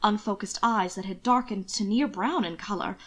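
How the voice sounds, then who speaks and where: Woman narrating fiction, medium pitch. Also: moderate pace, a bit bright. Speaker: amateur audiobook narrator.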